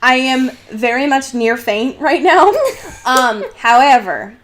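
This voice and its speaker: A woman's voice: high voice, takes it slowly, a little hiss or hum. A young woman co-hosting a podcast in casual banter, irreverent and freely swearing in slang. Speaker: podcast co-host.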